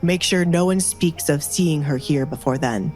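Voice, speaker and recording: Lady doing a low voiced bossy young duke, clipped n deadpan, audio romance story w music behind. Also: moderate pace, lightly acted. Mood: commanding. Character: stern, commanding young duke, coolly teasing his butler.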